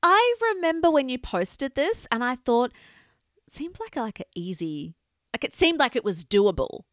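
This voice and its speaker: A woman, co-hosting a podcast in casual, animated chat. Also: high voice, moderate pace, dry recording, clean studio sound. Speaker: podcast co-host.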